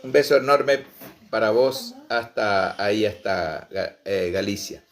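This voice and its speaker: Slow, passionate man. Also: fairly low pitch, soft background music. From Misiones, Argentina. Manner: slow, passionate, pausing often with fillers. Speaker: Guaraní language and culture promoter. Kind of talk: personal storytelling to online viewers.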